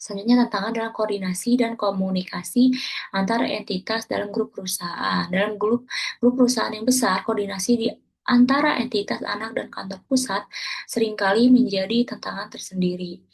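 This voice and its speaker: Husky woman reading a class presentation. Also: medium pitch, brisk, low-quality recording. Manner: reads steadily from slides, with small self-corrections. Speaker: student presenter.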